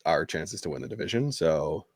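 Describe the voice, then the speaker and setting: A man's voice: a bass voice, big pitch swings, normal speed, slightly rough, no echo, clean studio sound. A man co-hosting a podcast, talking sports casually in loose, conversational phrases. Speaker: podcast co-host.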